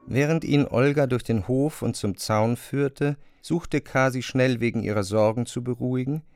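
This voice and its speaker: A man narrating a literary radio play in a measured, articulate way. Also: mid-range voice, a little mellow, no echo, faint music behind.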